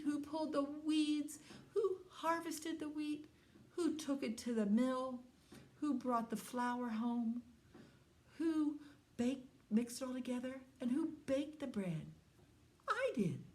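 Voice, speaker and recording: A woman voicing a hardworking, indignant hen in a storybook, with emphatic, drawn-out words. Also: fairly low pitch, sing-song, a little hiss or hum.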